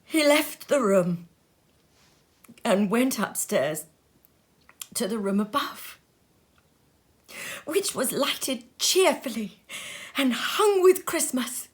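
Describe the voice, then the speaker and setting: Expressive woman reading a classic story. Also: a little high, slow pace, pauses often. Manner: expressive, feeling. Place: sitting room.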